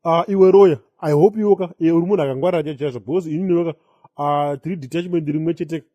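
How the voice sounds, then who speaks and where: A man acts out a self-important Zimbabwean police investigator in a comedy cartoon. He talks extremely fast in a mellow, close and dry voice, bossing people about and tacking tags onto his sentences. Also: fairly high pitch, no echo, lightly acted. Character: pompous male police investigator boasting about bomb disposal.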